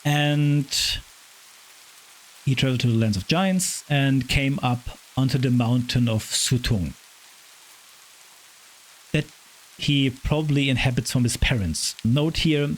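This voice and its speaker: A man with a raspy voice hosting a podcast, retelling myths in a chatty way, stumbling over hard names. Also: medium pitch, a little hiss or hum, low-quality recording. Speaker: podcast host. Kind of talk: casual storytelling of Norse myth.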